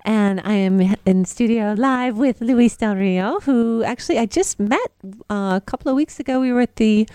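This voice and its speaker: A woman's voice: fairly high pitch, moderate pace, hardly any pauses, warm tone, clean tone, dry recording. A woman hosting a radio show, chatty and casual as she introduces songs and interviews a guest. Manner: chatty, with restarts and fillers. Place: radio studio.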